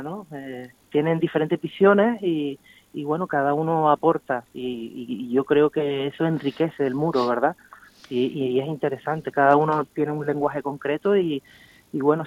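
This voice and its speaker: A man's voice: on the high side, no echo. A man with a slightly raised voice talks through a dull, lo-fi phone line. He is a guest on a radio interview, speaking reflectively and often ending his points with a question to his listener. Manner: thoughtful, with frequent tag questions. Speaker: guest graffiti artist.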